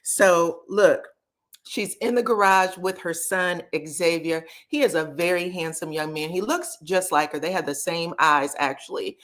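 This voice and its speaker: A woman's voice: lowish voice, measured pace, fairly bright tone. A woman, chatting casually as she hosts a livestream recap and greets viewers by name. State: slightly scratchy voice. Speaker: reality TV recap livestream host.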